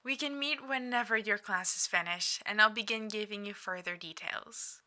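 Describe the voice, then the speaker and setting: A woman's voice: medium pitch, normal speed, pushed and bright. Woman as a breezy, upbeat male schemer. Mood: breezy, excited.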